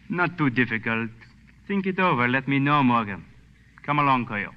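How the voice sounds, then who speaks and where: An actor playing a suave, menacing police official, formal and sardonic, in a 1940s radio drama. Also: male voice, mid-range voice, measured pace, close to an everyday voice. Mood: smoothly menacing. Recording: dull, reverberant old radio recording.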